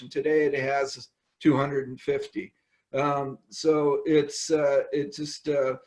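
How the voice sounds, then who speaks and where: An older man from California, a winemaker talking casually over a video call from his home wine cellar, rambling with many ums and uhs. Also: a little high.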